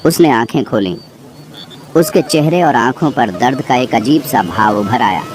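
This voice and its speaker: A man's voice: medium pitch, normal speed. A man reading a scripted horror story as its narrator, with insect and bird ambience behind him. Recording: clear background noise, nature ambience.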